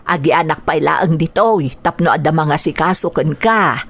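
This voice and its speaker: Practical older sister. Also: female voice, deep voice, moderate pace, a bit bright, breathy edge, heavily acted. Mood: reassuring, practical. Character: practical older sister reassuring her sick sibling. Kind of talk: radio drama acting.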